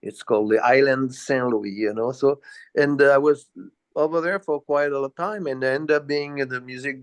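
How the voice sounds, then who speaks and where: An older man from the south of France with a French accent, chatting as an interview guest in a rambling, repetitive way. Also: medium pitch. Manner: rambling, repetitive, peppered with "you know". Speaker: interview guest, jazz bassist. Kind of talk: casual reminiscing in an interview.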